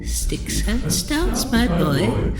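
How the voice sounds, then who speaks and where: Man playing a ghostly witch, taunting, malevolent, drawn-out theatrical delivery, swooping pitch, music underneath. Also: huge pitch swings, vocal fry, reverberant, full character voice. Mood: menacing, gloating. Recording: music over the voice.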